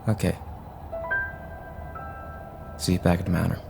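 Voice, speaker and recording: A man's voice: sits low, flat delivery, measured pace, gravelly, over music, heavily acted. A man playing a frustrated, idealistic younger hero in an audio drama, earnest and confrontational. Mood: pleading.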